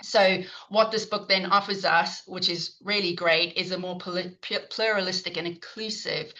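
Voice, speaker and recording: Session chair: South African woman, middle-aged, lowish bright voice, chatty with fillers, heard over a video call. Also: fairly even intonation, moderate pace, rough recording. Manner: warm, chatty, frequent fillers and restarts. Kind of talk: introducing speakers at an online talk.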